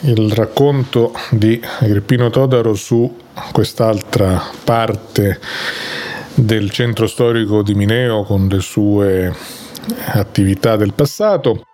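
Low, slow-spoken male radio host. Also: a little mellow. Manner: deliberate, announcing. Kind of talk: radio segment introduction.

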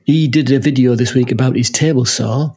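A husky, slightly breathy man chatting casually as a podcast co-host. He laughs a lot and keeps checking in with a quick "huh?". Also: mid-range voice, dark tone. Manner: chatty, laughs often, tags lines with "huh?". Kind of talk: casual chat.

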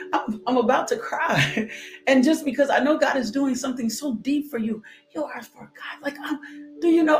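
A woman preaching with fervor, her declarations repeated again and again. She breaks into sudden high whoops, then sinks to near-whispered prayer. Faint music plays behind her. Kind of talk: fervent sermon with altar call and blessing.

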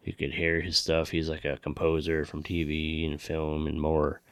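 A man's voice: very deep voice, monotone, moderate pace, fairly bright tone, dry recording. A man co-hosting a podcast with casual, laid-back, informal chat. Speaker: show co-host.

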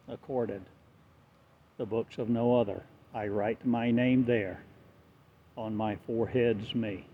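A man delivers a slow, incantatory prophetic monologue, rising loud and sinking quiet again. The sound is thin and phone-like, with a faint hiss behind it. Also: mid-range voice. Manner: incantatory, repetitive, swelling and fading in loudness. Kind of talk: prophetic declamation. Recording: tinny phone-like audio with faint noise.

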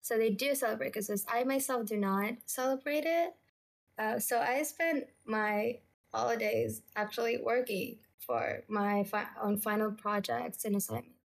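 A woman from Bahrain speaks in a somewhat high voice as a podcast guest. She is matter-of-fact and casual. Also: measured pace, gravelly. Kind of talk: casual chat.

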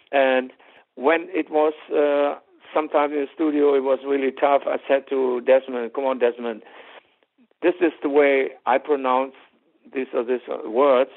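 Gravelly German-accented man on a phone line. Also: mid-range voice. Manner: rambling, many fillers and restarts, easy laughs. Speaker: rock singer. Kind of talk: interview answers. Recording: tinny phone-line audio.

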